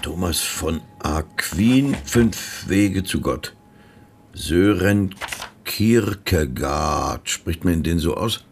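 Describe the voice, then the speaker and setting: A radio-play investigator with a low-pitched voice. He is patient and matter-of-fact, probing with short questions and prompts. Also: animated, very slow, vocal fry, slight background noise, full character voice. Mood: halting, reading.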